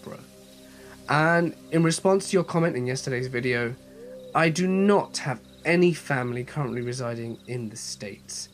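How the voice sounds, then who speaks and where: Male horror-story host, mid-range voice touched by a mild cold, narrating over a music bed with crickets. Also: medium pitch. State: slight head cold. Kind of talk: scripted first-person horror story narration and channel sign-off.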